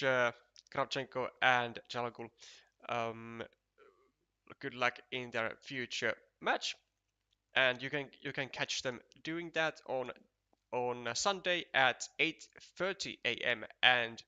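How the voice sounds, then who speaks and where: A man with a Finnish accent giving casual, unhurried commentary on a board game match for a live stream, chatty and full of fillers. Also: mid-range voice, sharp tone, clear, resonant tone, dull audio.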